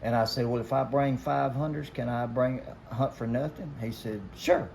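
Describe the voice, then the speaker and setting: A man's voice: medium pitch, fairly even intonation, normal speed, creaky, a little mellow. An older man with a Southern US accent telling stories casually in a folksy, emphatic way, quoting old conversations as he goes. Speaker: guest telling hunting stories. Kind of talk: casual storytelling. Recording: noisy, roomy, somewhat distant pickup.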